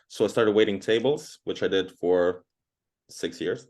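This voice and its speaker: Low-voiced chatty man, rambling. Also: measured pace, a little mellow. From Croatia. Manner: chatty, rambling, frequent fillers. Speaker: guest. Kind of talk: casual interview chat.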